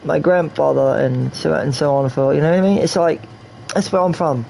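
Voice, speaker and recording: A man's voice: fairly high pitch, fairly quick, vocal fry, dark tone, a little hiss or hum. A man from New York rambling through casual game stream commentary, repeating himself and slipping in and out of a put-on English accent. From New York, USA.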